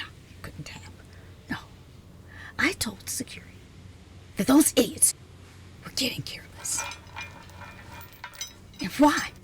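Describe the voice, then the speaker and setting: Casting: woman to play reclusive genius scientist, female, imperious yet easily flustered; breathy whispers, wildly varied pitch, halting delivery for ASMR roleplay. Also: full character voice. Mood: suspicious. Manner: halting, repetitive, sighing, imperious.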